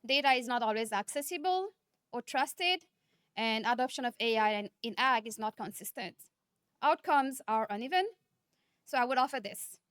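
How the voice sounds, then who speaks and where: A woman from Nepal, giving a conference keynote speech in a measured, earnest manner, often posing rhetorical questions. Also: fairly high pitch, a bit bright, clean tone, dry recording, clean studio sound. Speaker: keynote speaker.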